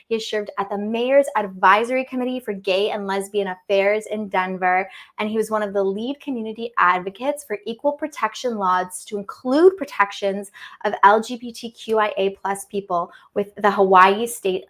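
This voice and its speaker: A woman's voice: on the high side, fairly bright tone. A woman hosting, reading out guest introductions with effusive enthusiasm. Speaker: host.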